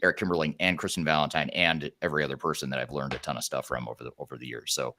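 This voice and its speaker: Male podcast host, very low and bright, quick delivery. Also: steady tone, a little hiss or hum. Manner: quick, upbeat, with fillers and restarts. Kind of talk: live interview.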